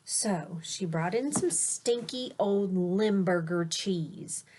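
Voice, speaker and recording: A woman reading a children's picture book aloud expressively, stressing key words and pausing to explain things simply for young listeners. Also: medium pitch, darkish tone, slight background noise. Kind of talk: storybook read-aloud with simple explanatory asides.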